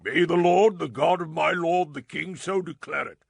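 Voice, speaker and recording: An actor playing a fervent, loyal royal official in a dramatized scripture reading, gravelly, husky and declamatory. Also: male voice, on the high side, moderate pace, no room sound. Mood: fervent, solemn.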